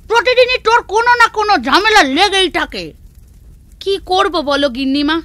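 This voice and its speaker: Casting: cartoon village woman, high-pitched, piercingly bright, emphatic and expressive. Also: no echo. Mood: scolding, haughty. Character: village women: maid, mistress, wife, daughter. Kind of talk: Bengali children's cartoon voice acting.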